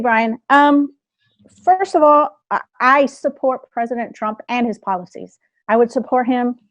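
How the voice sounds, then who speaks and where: A woman's voice: fairly high pitch, no echo, highs cut off. A woman running for office, answering a debate question with many hesitations. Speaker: political candidate.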